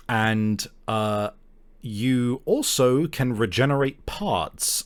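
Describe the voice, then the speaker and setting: A man's voice: fairly low pitch, dry recording. A man narrating an improvised tabletop game as game master, feeling out his phrasing in hesitant pieces.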